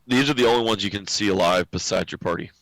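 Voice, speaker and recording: A low-pitched man runs the table as game master, calling out turns and wounds in a relaxed, joking way. His voice is close and dry, with no room sound around it. He laughs easily between rulings. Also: fast talker, no echo, low-quality recording.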